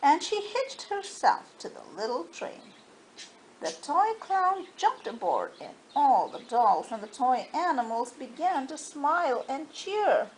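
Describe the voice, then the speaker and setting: A woman storyteller reading a children's story aloud in an expressive, dramatized way, with faint background noise. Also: high voice, slow, poor audio.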